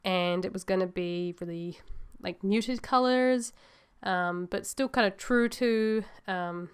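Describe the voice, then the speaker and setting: A woman's voice: medium pitch, slow, clear, resonant tone. A woman chatting casually to viewers in a voice-over, nasal and a little sore-throated from a cold, rambling and upbeat. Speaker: illustrator vlogger.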